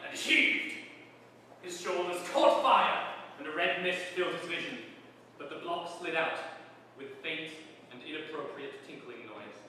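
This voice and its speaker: A man with a fairly high voice narrates a comic novel in a theatrical way. He is deliberate, leaning on dramatic pauses. He sounds far from the microphone in a very echoey, noisy hall. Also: pushed and bright. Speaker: reader performing for a live audience. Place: large reverberant room with an audience.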